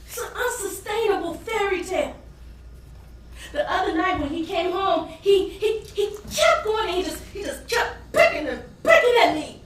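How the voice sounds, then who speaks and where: A woman playing a weary, embittered working-class wife and mother in an emotive, dramatic stage monologue with long pauses. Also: very high voice, lively intonation, far from the mic. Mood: exasperated. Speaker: stage actor. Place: indoor stage performance space. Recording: roomy echoing theater from afar, faint noise.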